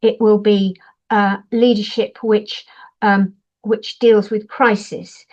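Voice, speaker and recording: A woman's voice: mid-range voice, little pitch movement, takes it slowly, a little mellow. An older woman, a professor presenting at a webinar in an explanatory way, stressing points through contrast. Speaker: university professor, webinar speaker. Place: online webinar. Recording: dull, lo-fi webinar audio.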